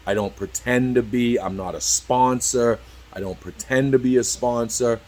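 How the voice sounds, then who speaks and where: A man in his early fifties talking candidly to camera as a vlogger, halting and repeating "you know" constantly, swearing freely. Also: mid-range voice, a little mellow. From Cambridge. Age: 50s. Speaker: YouTube vlogger. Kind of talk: rambling candid talk to camera.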